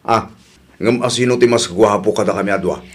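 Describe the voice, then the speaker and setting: A man's voice: lowish voice, fairly quick. An actor playing a lovesick jilted man who cannot move on, in a comedy radio drama. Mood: probing.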